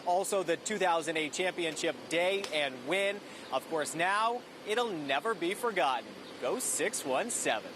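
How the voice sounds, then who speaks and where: A man's voice: fairly high pitch, lively intonation, a little slow. A man reporting live for TV news from outdoors in a city, in a stand-up delivery. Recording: clear outdoor street noise.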